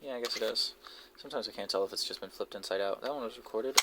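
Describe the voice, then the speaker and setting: Man, low creaky voice, halting low-key hobbyist commentary, very noisy amateur recording with clicks. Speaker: hobbyist video creator showing a cassette collection. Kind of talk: casual, unscripted show-and-tell commentary. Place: basement, indoors. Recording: noisy amateur audio with clicks and handling noise.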